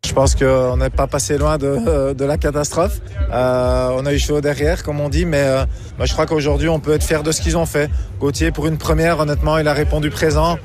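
Capitaine belge en interview: monotone, voix haute, hésitant. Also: male voice, a little high, a little fast, faint music behind. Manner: reflective, many fillers. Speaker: tennis team captain. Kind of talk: post-match interview.

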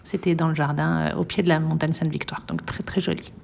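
A middle-aged woman violinist being interviewed, speaking thoughtfully with many pauses and light laughs. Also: low-pitched, flat delivery, vocal fry, darkish tone. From France. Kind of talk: relaxed radio interview.

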